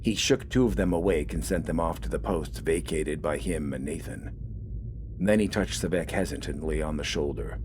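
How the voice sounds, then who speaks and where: Low-voiced man narrating a dramatic story. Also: moderate pace, noisy background. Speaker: audiobook narrator.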